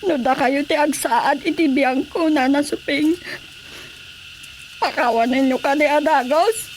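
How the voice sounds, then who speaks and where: A woman acting a distraught, high-voiced wronged wife. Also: measured pace, rough recording. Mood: troubled pleading. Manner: plaintive, pleading. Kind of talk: Ilocano radio drama acting. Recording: faint background noise.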